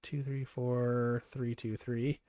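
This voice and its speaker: A man's voice: medium pitch, level tone, takes it slowly, dark tone, no echo. A young Canadian man chatting casually on a live game stream, rambling through stories with lots of fillers and reading chat messages aloud. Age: young adult.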